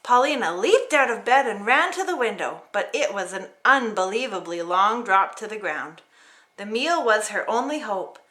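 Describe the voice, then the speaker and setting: A woman narrating a children's story in an expressive read-aloud style. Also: medium pitch, normal speed. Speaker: storybook reader.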